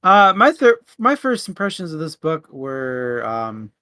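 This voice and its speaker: A man in a casual book discussion, informal and halting as he searches for his words. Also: a little high, big pitch swings, pushed and bright, smooth, no echo.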